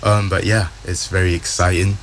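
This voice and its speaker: A man's voice: deep voice, little pitch movement, normal speed, slight background noise. A twenty-year-old man, a university student, answering interview questions in a friendly, easygoing way with lots of ums and yeahs.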